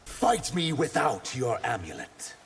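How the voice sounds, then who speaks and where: An actor playing an earnest, guarded reptilian shapeshifter warrior in human form, trading emphatic banter in a video game. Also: male voice, mid-range voice, wildly swooping pitch, measured pace, creaky, breathy edge, heavily acted. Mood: earnest, challenging. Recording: long reverb, faint background noise.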